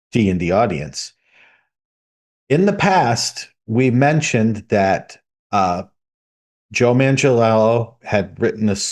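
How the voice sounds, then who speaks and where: A man co-hosting a tabletop gaming podcast, reading out news items in a steady, measured way. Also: lowish voice. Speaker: podcast co-host.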